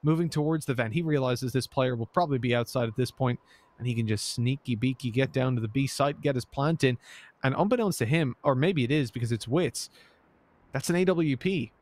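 A man with an Irish accent softened by American tones, casting a competitive shooter match with chatty commentary that climbs with the action. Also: fairly quick. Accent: Irish, with an American lilt. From Ireland. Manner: chatty, laughs easily, rises in pitch with the action. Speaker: esports caster. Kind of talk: play-by-play and color commentary.

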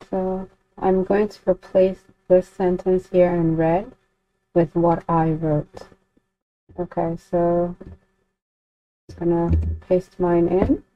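A woman giving an unscripted software walkthrough as a tutorial presenter, informally thinking aloud with some hesitation. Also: on the low side. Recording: dull, lo-fi, dry close recording.

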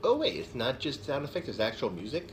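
A man's voice: medium pitch, huge pitch swings, fast, a little hiss or hum. A man in his twenties giving casual, off-the-cuff reaction commentary in a living room. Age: 20s. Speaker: reaction video host. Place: living room couch indoors.

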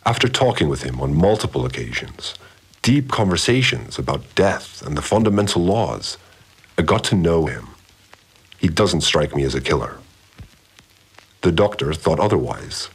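Very deep-voiced, methodical male investigator. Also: sing-song, husky, full character voice, low-quality recording. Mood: measured, analytical. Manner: measured, deliberate, analytical. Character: methodical, brooding male investigator puzzling over a murder. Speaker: narrator investigator. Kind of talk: audio drama monologue. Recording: music behind the voice. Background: music.

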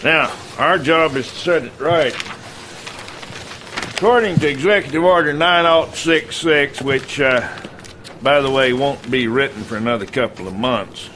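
An actor as a folksy American army general. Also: male voice, medium pitch, measured pace, fairly bright tone, a little hiss or hum, natural voice. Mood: wry, resolute. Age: middle-aged. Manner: folksy, blustery military briefing. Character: gruff, folksy middle-aged male American army general. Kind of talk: video game cutscene acting.

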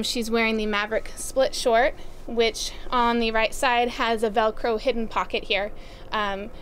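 A woman's voice: a little high, slight background noise. Upbeat young woman presenting running gear. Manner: upbeat, with frequent "um" fillers. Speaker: store product presenter. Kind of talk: casual product rundown. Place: outdoors by farm fields.

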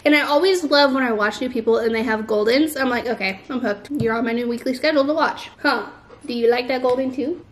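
A young woman vlogging from her living room, chatting casually to the camera in an informal, self-deprecating way. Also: a little high. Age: young adult. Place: living room at home, indoors.

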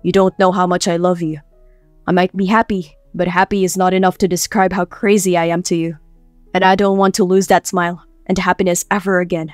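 A woman playing a tender, earnest young man in love in a romantic audiobook, speaking softly. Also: fairly low pitch, flat delivery, normal speed, no echo, soft background music, close to an everyday voice. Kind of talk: romantic audiobook character acting.

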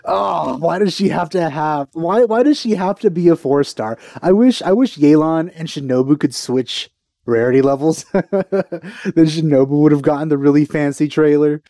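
A man's voice: a little high, moderate pace, mellow, no room sound, clean studio sound. A young man chatting casually as a reaction video host, informal and enthusiastic, speaking into a headset. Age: young adult. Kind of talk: casual chat reacting to a game trailer. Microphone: headset microphone.

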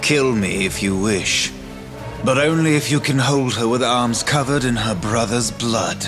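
An actor playing a cold, protective young sorcerer twin brother who speaks in a cool, mocking, measured way in a game cutscene. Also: male voice, medium pitch, lightly acted. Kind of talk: video game cutscene dubbing. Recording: game audio with music behind.

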